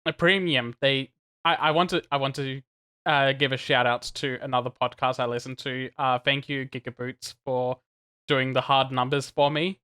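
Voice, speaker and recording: A man co-hosting a gaming podcast, lively and talkative, with a bright, forceful tone at a middling pitch. He restarts his sentences often and laughs between his points. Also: moderate pace, smooth. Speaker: podcast co-host. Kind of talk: casual podcast chat.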